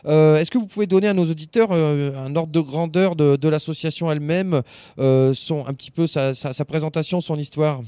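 A man hosting a radio interview in as his language, phrasing his questions in a hesitant, halting way. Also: fairly high pitch, dark tone, clear, resonant tone, dry recording. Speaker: radio host interviewing a phone guest.

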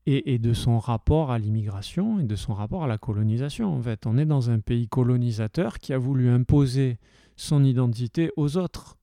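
A man's voice: mid-range voice, moderate pace, mellow, no echo, clean studio sound. A man from the south of France talking heatedly and casually, with many hesitations and restarts. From Occitan-speaking region of France. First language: French. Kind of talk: passionate casual talk.

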